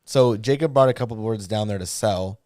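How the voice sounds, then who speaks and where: A man with a hoarse voice, hosting a casual podcast and telling stories in a rambling way, often stopping to correct himself. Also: fairly low pitch, fairly quick, a little mellow, no echo, studio quality. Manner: rambling, self-correcting storyteller. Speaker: podcast host, board shop owner.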